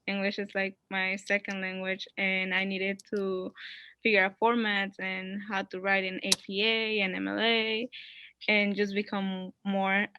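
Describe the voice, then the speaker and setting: Bright young woman, a native Spanish speaker. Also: medium pitch, little pitch movement, measured pace, clear, resonant tone, lo-fi sound. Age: young adult. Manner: hesitant, searching for words. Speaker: college student panelist.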